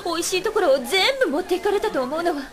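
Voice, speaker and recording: High-voiced, haughty anime girl. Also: lively intonation, fast, crowd noise. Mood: sulky, haughty. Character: haughty, sulky, spiteful young lady. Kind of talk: anime audio drama acting.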